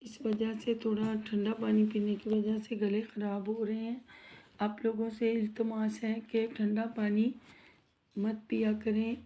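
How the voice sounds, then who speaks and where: A woman's voice: medium pitch, mellow. A woman hosting a home cooking vlog, talking viewers through frying snacks while nursing a sore throat, chatty and friendly with her audience. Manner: chatty, addresses viewers as guys, asks for subscribes. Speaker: cooking channel host. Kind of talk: casual cooking vlog narration. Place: home kitchen.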